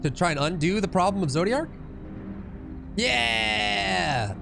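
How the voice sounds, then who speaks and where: A man's voice: high-pitched, animated, slow, vocal fry, music in the background. A man doing casual, jokey playthrough commentary as a gaming streamer, often cutting himself off and exclaiming. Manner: jokey, self-interrupting, exclaiming. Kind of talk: casual playthrough commentary mixed with reading game dialogue aloud.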